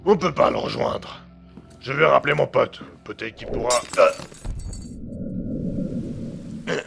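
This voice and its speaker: An actor playing a blunt, slangy underworld agent who presses suspiciously for answers in an audio drama. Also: male voice, medium pitch, normal speed, vocal fry, fairly bright tone, soft background music. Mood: wary.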